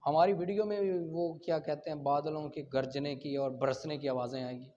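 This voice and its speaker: A man's voice: on the high side, steady tone, brisk, mellow, a little hiss or hum. Pakistani man lecturing, dull lo-fi sound. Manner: asks rhetorical questions and checks understanding. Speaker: law teacher. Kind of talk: explanatory lecture, reading statutes then explaining. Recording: dull lo-fi recording.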